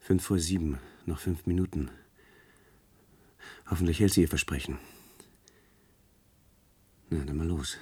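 A man's voice: extremely low pitch, unhurried, long pauses, a little mellow, husky, heavily acted. An actor playing a discreet male conspirator in a German science-fiction radio play, explaining and placating. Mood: tense, muttering.

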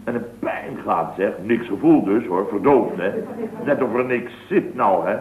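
A man's voice: on the low side, expressive, measured pace. Fussy, aggrieved male company boss, vintage radio comedy, stumbling repetitive delivery, tinny echoey sound. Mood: self-pitying. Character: blustering, self-pitying male building-firm boss. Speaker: actor in a radio comedy serial. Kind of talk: 1970s radio comedy acting.

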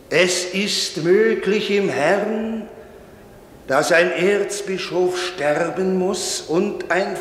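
An actor playing a stern, solemn old priest who preaches in a measured, declamatory way with rhetorical pauses, in the style of 1950s radio drama. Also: male voice, sits high. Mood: fervent exhorting. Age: older. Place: large reverberant space. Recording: echoey church-like hall, noisy 1950s radio recording.

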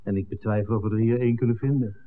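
A man's voice: on the low side, steady tone, racing, close to an everyday voice. An actor playing a wry, hesitant cameraman in a 1960s Dutch radio drama. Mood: wry relief. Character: Mark, wry hesitant cameraman hiding in a church. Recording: thin, phone-like old radio recording.

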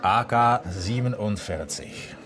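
Slow, careful Czech policeman. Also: fairly low pitch, a crowd in the background. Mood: earnest. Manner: halting foreigner's German, courteous. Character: polite, methodical Czech police officer. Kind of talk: naturalistic radio drama acting.

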